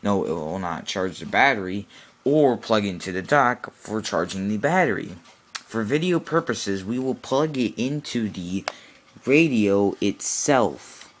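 Low-voiced man giving an informal tutorial. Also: animated, unhurried. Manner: matter-of-fact, instructional. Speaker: product demonstrator. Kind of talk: informal how-to tutorial, unscripted. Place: small cluttered room indoors.

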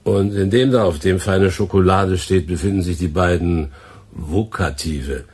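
An actor playing a matter-of-fact radio tape editor at work in a radio play. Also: male voice, deep voice, measured pace, a little mellow, breathy edge, exaggerated voice. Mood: businesslike.

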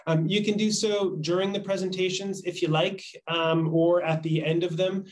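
A man in his thirties moderating a formal panel over a video call, speaking in a measured, polite and halting way. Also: high-pitched, little pitch movement. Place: small office, indoors. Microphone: video call microphone.

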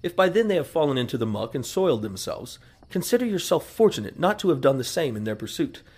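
An actor playing a proud, fussy old family servant who scolds in formal, wordy speech in a western audio drama. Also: male voice, fairly high pitch, sing-song, quick pace, darkish tone, slightly rough. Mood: disapproving. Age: older.

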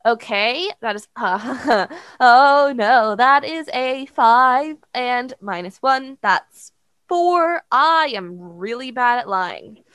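A woman's voice: a little high, expressive, slow, fairly bright tone, clean tone, no echo. A woman chatting casually as a player in a tabletop role-playing game.

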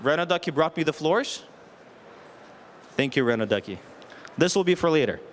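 A man's voice: fairly high pitch, lively intonation, moderate pace, slight background noise. A man in his thirties giving a practical, explanatory public presentation into a handheld microphone, often posing questions and listing options. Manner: explanatory, poses rhetorical questions and lists options. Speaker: renovation contractor giving a presentation. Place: stage at an indoor home show. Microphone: handheld microphone held near the chin.

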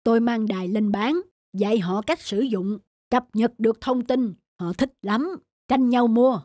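Woman voicing a boastful high-pitched merchant man. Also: unhurried, mellow, dry recording, clean studio sound. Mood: smug. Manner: bragging, breezy. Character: boastful, slick, plump merchant man, cheerfully greedy. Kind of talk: cartoon voice acting.

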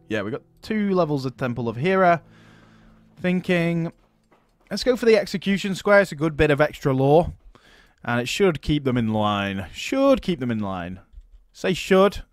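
Guy narrating his strategy game playthrough, bouncy animated voice, chuckles a lot, quiet game music under it. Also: medium pitch, dry recording. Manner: chatty, laughs often, talks to units as "my friend". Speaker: gaming YouTuber. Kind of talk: casual let's-play commentary.

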